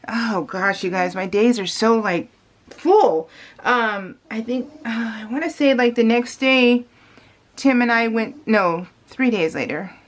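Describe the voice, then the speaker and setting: A middle-aged woman with an American accent, rambling casually and giggly as she narrates a vlog, often interrupting herself. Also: medium pitch. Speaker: vlogger. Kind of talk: casual chatty voice-over ramble.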